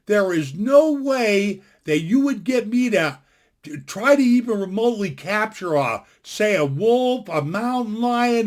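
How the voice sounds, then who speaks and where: An older man in his sixties with a fairly high-pitched voice speaks from his home into a desktop computer microphone. He talks in a blunt, folksy, opinionated way, rambling and restarting his sentences as he goes. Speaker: vlogger. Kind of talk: casual opinion rant to camera. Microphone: desktop computer mic.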